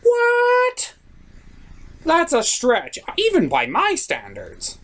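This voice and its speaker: A mischievous, vain cartoon creature of chaos acted by a man. The delivery is theatrical and self-important, swooping up high from word to word. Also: wildly swooping pitch, very slow, a bit bright, exaggerated voice. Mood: indignant, boastful.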